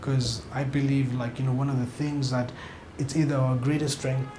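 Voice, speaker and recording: A man's voice: medium pitch, flat delivery, normal speed, echoey room, over music. A man from Kenya, a TV series director, giving frank, emphatic opinions in a sit-down interview.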